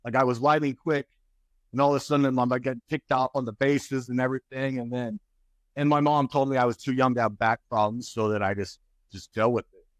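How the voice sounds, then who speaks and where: A man's voice: mid-range voice, dry recording. A man in his forties, a guest author and speaker, talking candidly in an interview with frequent restarts. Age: 40s. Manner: halting, restarts often, animated when telling stories.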